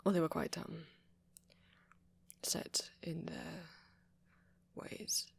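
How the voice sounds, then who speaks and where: A man playing a shy, apologetic young vampire in an ASMR roleplay, with a posh British accent and a hesitant, stammering, soft-spoken way of talking. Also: a little high, little pitch movement, very slow, creaky, breathy edge, no room sound, heavily acted. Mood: bashful, awkward. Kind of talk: intimate ASMR roleplay audio.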